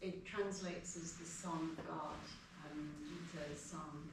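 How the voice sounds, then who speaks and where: A middle-aged Irish woman gives an informal devotional talk, explaining things in a measured way. She sounds set back from the microphone in a very reverberant room. There is clear noise on the recording. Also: low-pitched, steady tone. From Ireland. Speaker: guest speaker giving a devotional class. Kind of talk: informal spiritual lecture. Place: house gathering room, indoors. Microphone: distant from the speaker. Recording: echoey room, distant mic, noisy.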